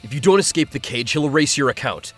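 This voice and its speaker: Man acting an animated, urgent young swordsman. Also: mid-range voice, fast, breathy edge, faint music behind. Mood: urgent warning. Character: urgent young male swordsman ally in a game. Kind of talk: audiobook character acting.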